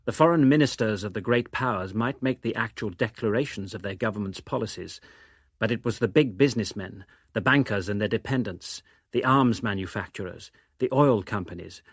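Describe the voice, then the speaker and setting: A man's voice: on the low side, moderate pace, husky, dry recording, clean studio sound. A man reading literary prose as a scripted narration. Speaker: reader of novel extracts.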